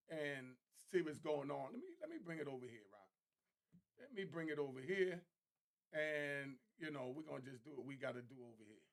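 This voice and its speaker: A man giving casual reaction commentary as a channel host, speaking colloquially in halting, emphatic, incredulous phrases. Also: fairly high pitch, vocal fry.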